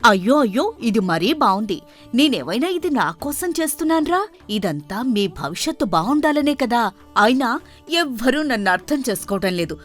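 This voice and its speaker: A woman voicing a shrewish, quarrelsome wife in an animated tale, scolding and complaining. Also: a little high, normal speed, faint music behind. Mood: aggrieved.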